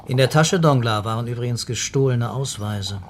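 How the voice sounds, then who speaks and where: An actor playing a brisk, probing police commissioner in a radio crime drama, speaking in terse questions. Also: male voice, mid-range voice, noisy background, close to an everyday voice. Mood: musing, focused.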